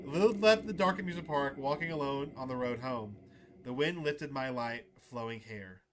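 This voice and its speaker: A man livestreaming a visual novel, switching between excitable, repetitive casual chat and reading the story text aloud. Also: medium pitch, moderate pace. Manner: excitable, repeats himself. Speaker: streamer playing a visual novel.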